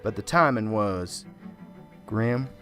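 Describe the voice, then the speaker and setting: A man playing a soft-spoken, pious small-town reverend who rambles earnestly, in an amateur radio drama, with faint music behind. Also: fairly low pitch, lively intonation, takes it slowly, full character voice. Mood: solemn. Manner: gentle, pious and wordy.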